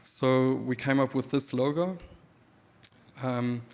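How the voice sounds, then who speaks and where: A man in his thirties from Namibia with a German accent, giving a relaxed conference talk with dry humor and many pauses. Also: mid-range voice. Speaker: speaker presenting his creative work. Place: event hall. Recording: reverberant hall.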